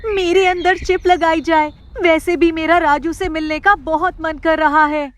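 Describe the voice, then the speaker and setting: High-pitched devoted village mother, played by a narrator woman. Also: fairly even intonation, measured pace, a little hiss or hum. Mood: determined longing. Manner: pleading, emotional.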